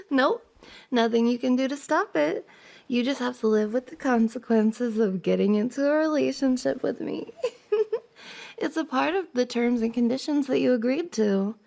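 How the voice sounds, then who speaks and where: A woman playing a giggly, teasing young woman confessing to her crush in an intimate ASMR roleplay, with a creaky voice. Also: sits high, expressive, a little slow, darkish tone, heavily acted. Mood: playful, sleepy. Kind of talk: intimate ASMR roleplay, acted as one side of a conversation.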